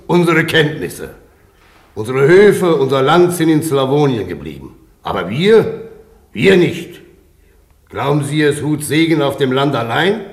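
An actor playing a resolute, earnest peasant father in a German radio drama, speaking deliberately and emphatically. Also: medium pitch, breathy edge, exaggerated voice. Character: resolute, unbowed older German peasant father, refugee spokesman. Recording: noisy radio play with background ambience.

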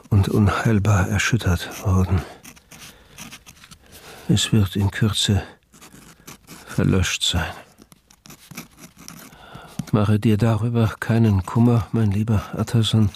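An actor playing a shattered, dying gentleman writing a last letter in a radio drama, solemn and halting. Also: lowish voice, a little hiss or hum.

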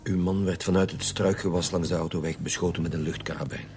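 Man acting chief detective, Flemish accent, low voice, blunt and commanding, radio serial. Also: steady tone, quick pace, darkish tone, slight background noise, close to an everyday voice. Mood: probing, businesslike.

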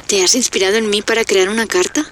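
Radio drama heroine: actress as a sensual, wondering woman in love, medium pitch, clear background noise. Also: fairly even intonation, fast talker, fairly bright tone, lightly acted. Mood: curious, uneasy. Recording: noisy outdoor soundscape, recorded remotely.

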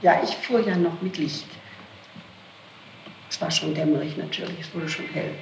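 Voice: mid-range voice, fairly even intonation, normal speed, vocal fry. Interrogation witness, explanatory and self-correcting, on echoey, noisy archival tape. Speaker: person being questioned by police. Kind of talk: interrogation testimony, recounting events.

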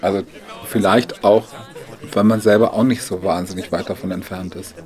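A man's voice: low voice, fairly even intonation, normal speed, darkish tone, close to an everyday voice. An actor playing a reflective man in a naturalistic conversational radio drama, speaking tentatively.

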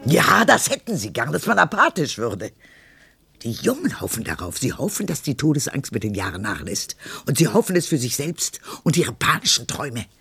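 Gravelly, irritable very old woman. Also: a bass voice, big pitch swings, moderate pace, a bit bright, no room sound, soft background music, exaggerated voice. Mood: dread, defiant. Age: older. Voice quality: gravelly, husky. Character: very old woman, irritable, death-haunted, fussy. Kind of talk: literary radio drama monologue.

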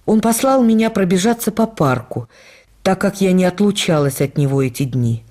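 An actress playing a writer's widow, fondly reading her memoir for radio in a measured, reflective storytelling manner. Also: fairly low pitch, lively intonation, warm tone. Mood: tender, wistful. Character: writer's widowed actress wife fondly recalling their life.

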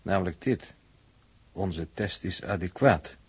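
An actor playing an earnest, analytical space test crewman with a husky voice, reasoning methodically in a 1960s radio drama. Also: low-pitched, takes it slowly, no room sound, exaggerated voice. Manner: methodical, didactic.

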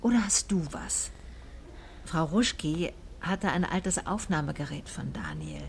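A woman playing a cool, observant private detective who narrates her case tersely and matter-of-factly in a radio play, with a husky voice. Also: deep voice, wildly swooping pitch, a little slow, a little hiss or hum, exaggerated voice. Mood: probing, matter-of-fact. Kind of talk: radio play first-person narration and dialogue.

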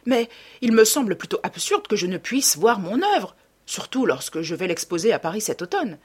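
An affable gentleman painter in a storybook read by a woman, speaking as a devoted friend. The manner is courteous and questioning, the voice a little husky and earnest. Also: mid-range voice, animated, normal speed. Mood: taken aback.

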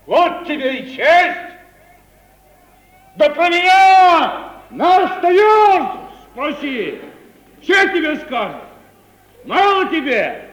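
An actor playing a stubborn, blustering provincial merchant proud of his honour, with folksy speech and drawn-out indignant retorts, in 1950s Russian radio comedy. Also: extremely high pitch, a bit bright, exaggerated voice. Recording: roomy vintage 1950 radio play recording.

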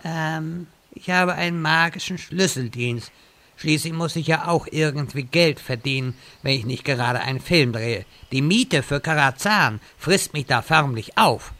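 A man's voice: on the high side, unhurried, bright, forceful tone. An actor playing an old wizard who sells portal services, with pompous speeches and salesman patter in a comedic radio play. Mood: salesy. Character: old male wizard turned hustling locksmith, pompous.

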